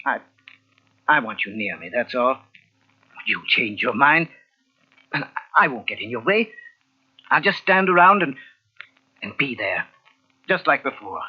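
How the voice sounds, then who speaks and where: An actor playing a clinging, earnest older husband with a husky voice in an old-time radio mystery. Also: male voice, mid-range voice, a little slow, pauses often. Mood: pleading, stubborn. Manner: earnest, pleading, excitable. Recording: dull old radio recording from cassette.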